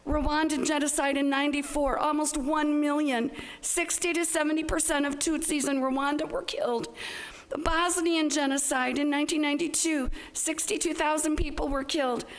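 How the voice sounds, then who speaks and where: A woman with a notably high voice and plenty of creak in it. She speaks as a member of the public at a council meeting, in a plain, halting way. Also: steady tone, rough recording. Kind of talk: public comment speech, partly read out.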